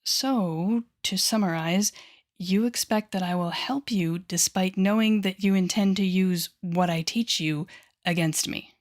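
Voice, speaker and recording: A woman playing an intense, coaxing female telepathic villain with a secretly tender side in an intimate audio roleplay, speaking softly and often whispering with deliberate dramatic pauses. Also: mid-range voice, natural voice. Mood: incredulous, sardonic. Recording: background music under the voice.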